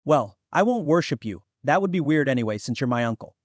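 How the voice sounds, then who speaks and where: A young demigod played by a man talks bluntly to his elder in a fantasy audio drama. He is skeptical and impatient, at a medium pitch, recorded close and dry. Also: animated, fairly quick, no echo, lightly acted. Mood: exasperated. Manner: exasperated, blunt.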